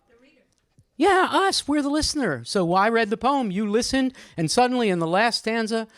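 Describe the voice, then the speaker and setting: Male discussion leader, high register, swooping intonation, encouraging and inquisitive, live group setting. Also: normal speed. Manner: animated, questioning, encouraging. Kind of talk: lively seminar-style poetry discussion. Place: live group event with audience microphones.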